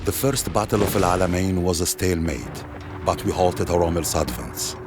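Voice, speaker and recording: A man playing a solemn, dignified Libyan father who narrates his memories of war in a video game, measured and reflective. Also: low-pitched, very noisy, close to an everyday voice.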